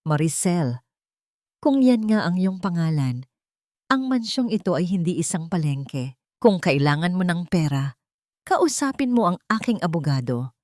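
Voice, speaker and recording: A woman voicing a stern, emotionless wealthy husband in an audiobook drama, speaking in a cold, measured, authoritative way. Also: lowish voice, very animated, darkish tone, no room sound, studio quality.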